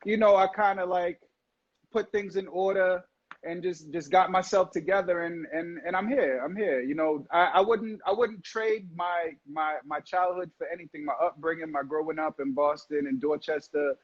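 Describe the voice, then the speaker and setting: A man's voice: a little high, normal speed. Man on a dull lo-fi video call. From Jamaica. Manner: relaxed, repeats words, says "facts" often. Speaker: guest. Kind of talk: casual chat on a live video call.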